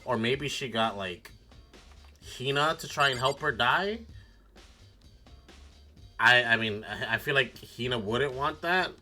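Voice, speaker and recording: A man's voice: medium pitch, pushed and bright. A man streaming a game with casual commentary, reasoning aloud in a blunt, speculative way with casual swearing. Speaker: streamer playing a mystery game.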